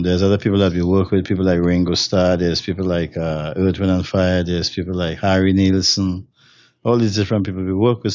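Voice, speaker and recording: A man's voice: low voice, darkish tone, dry recording, dull audio. A man from Trinidad and Tobago with a Trinidadian accent, a steel drum musician answering interview questions in a rambling way, with many fillers and restarts. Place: hotel room.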